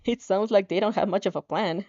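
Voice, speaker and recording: A woman's voice: mid-range voice, brisk, darkish tone, muffled recording. A woman reading a story aloud, often stumbling and repeating words as she narrates. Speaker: fan fiction narrator.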